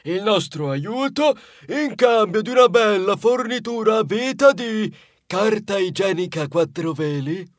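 A man's voice: high-pitched, expressive, measured pace, no room sound, studio quality, pitched above the performer's own voice. A man voicing a cheeky, boastful male storybook mouse with a brash, showy manner. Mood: wheedling, boastful.

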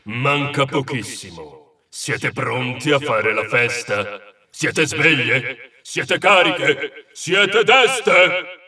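A man's voice: mid-range voice, expressive, extremely slow, pushed and bright, exaggerated voice. A man voicing an ancient mummy pharaoh who commands his army with menace. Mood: menacing, rousing. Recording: roomy, echoing, distant voice.

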